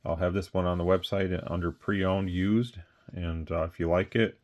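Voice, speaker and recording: Guy w a low flat voice just rambling thru a product rundown, plain talk, nothing scripted. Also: normal speed. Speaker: radio seller presenting a product. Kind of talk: casual off-the-cuff product talk.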